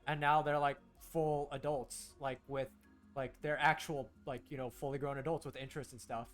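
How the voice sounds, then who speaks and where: A man's voice: mid-range voice, level tone, normal speed, faint music behind. A young man whose first language was Korean, raised in the United States, chatting casually with viewers while streaming a game, rambling and restarting his sentences. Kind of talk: casual gameplay stream chat, talking to chat.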